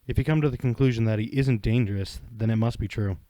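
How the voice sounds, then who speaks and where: Commanding male investigator for anime audio drama, acted by a man. Dark, close dry sound, calm authority. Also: mid-range voice, fast, no room sound, close to an everyday voice. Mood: firm.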